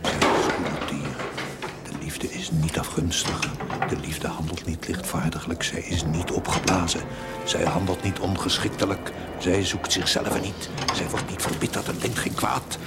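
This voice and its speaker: A clergyman reciting scripture with measured intensity in a television period drama. His voice is low and gravelly. Loud music plays behind him. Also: steady tone. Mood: quiet, reverent.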